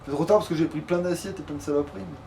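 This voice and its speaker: A man's voice: on the high side, steady tone, very fast, mellow, recorded from a distance. A man giving casual, grumbling, sweary commentary while playing a video game. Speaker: let's player. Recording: clear background noise, game ambience.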